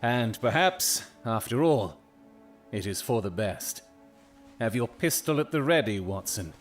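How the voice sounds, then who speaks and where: An actor playing a keen, commanding gentleman detective in an audio drama, speaking in deliberate, formal, dramatic phrases. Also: medium pitch, lively intonation, faint music behind, lightly acted. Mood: urgent, grim.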